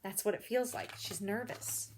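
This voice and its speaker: A woman's voice: mid-range voice, normal speed, a little hiss or hum. A woman reading a picture book aloud to children, expressively, with little asides and questions to the young listeners. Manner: expressive, explains feelings and puts questions to children.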